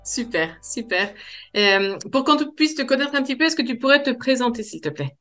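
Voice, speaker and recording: A woman's voice: a little high, expressive, a little fast, fairly bright tone, faint music behind. A woman interviewing a client, asking questions and giving short encouraging replies. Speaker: interviewer for the program.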